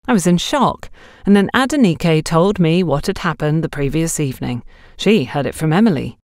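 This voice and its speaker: A woman's voice: sits low, animated, moderate pace, darkish tone, no room sound, clean studio sound. A woman voicing an anxious, indignant young female shop assistant in an audiobook, gossiping with a colleague. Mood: embarrassed, indignant. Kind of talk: audiobook character dialogue.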